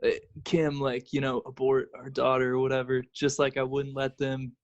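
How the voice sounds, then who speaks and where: A young man with a gravelly, creaky voice at a middling pitch. He speaks casually as a podcast co-host, and the sound is a little dull and lo-fi. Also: monotone, normal speed. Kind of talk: casual chat.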